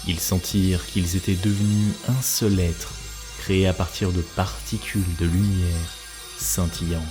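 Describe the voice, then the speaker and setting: Deep-voiced male storyteller over music. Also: little pitch movement. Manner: solemn, measured. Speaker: narrator. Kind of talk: scripted storybook narration for a cartoon.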